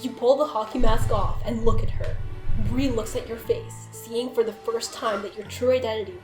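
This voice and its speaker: Very high-pitched playful woman narrator. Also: fairly even intonation, moderate pace, a little mellow. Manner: giggly, playful. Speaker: narrator of a group story reading. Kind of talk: dramatic reading of a comic story among friends. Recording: roomy echo with faint music.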